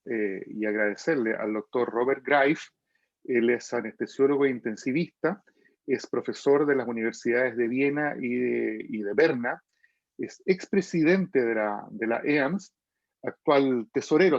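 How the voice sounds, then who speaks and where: A man's voice: medium pitch, no room sound, dull audio. A man hosting a medical webinar, introducing a guest speaker with many hesitations and restarts, over a video call. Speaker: webinar host, anesthesiology program.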